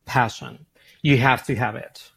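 A man from Argentina with an Argentine Spanish accent, a founder and CEO answering interview questions in an animated way, often repeating words as he thinks. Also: mid-range voice, unhurried, gravelly, husky.

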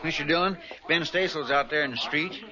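An actor playing a marshal's earnest, fretful sidekick in a 1950s radio western, folksy and deferential. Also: male voice, a little high, steady tone, brisk, crowd noise, natural voice. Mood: anxious. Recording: dull, noisy old radio recording.

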